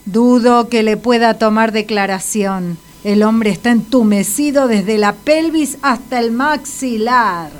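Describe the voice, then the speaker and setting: An actress with an Argentine accent playing a stern, sarcastic, insistent hospital doctor in a comic radio drama. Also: female voice, fairly high pitch, measured pace, few pauses, natural voice. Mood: stern, impatient. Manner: sardonic, insistent.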